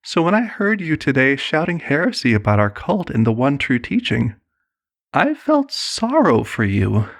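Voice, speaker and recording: A man performing an ASMR roleplay as a serenely manipulative cult leader, soothing, condescending and persuasive. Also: on the high side. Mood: patronizing, persuasive.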